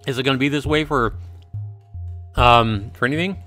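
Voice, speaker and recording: A man's voice: medium pitch, normal speed, sharp tone, faint music behind. A man giving casual live gameplay commentary, thinking aloud and repeating himself as he talks to his viewers. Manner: repetitive, thinking aloud, addressing chat viewers. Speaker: livestream host playing a visual novel.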